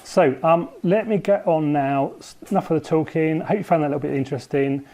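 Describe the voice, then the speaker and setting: A man's voice: fairly high pitch, fast, mellow. Rambling man explaining a bake. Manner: rambling, thinks aloud with frequent ums. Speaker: home baker presenting a recipe. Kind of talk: casual recipe explanation to camera.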